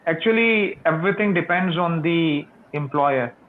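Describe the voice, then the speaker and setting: A man's voice: fairly high pitch, slight background noise. Tinny-sounding man practicing English. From Pakistan. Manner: slow, careful learner's English. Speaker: interviewer and channel host. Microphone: video call. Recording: tinny phone-like call audio.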